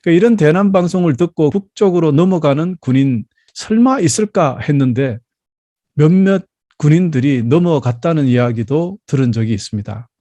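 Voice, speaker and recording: A man in his fifties from South Korea preaching a Sunday sermon as a pastor, telling personal stories in a measured, explanatory way. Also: on the high side, lively intonation, dark tone, no room sound. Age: 50s.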